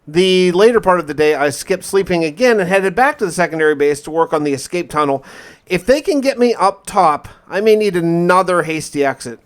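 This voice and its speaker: A man's voice: high-pitched, expressive, a little fast. A man narrating a gaming video in a casual, conversational first-person style with a mildly wry manner. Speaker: gaming video host narrating a survival playthrough.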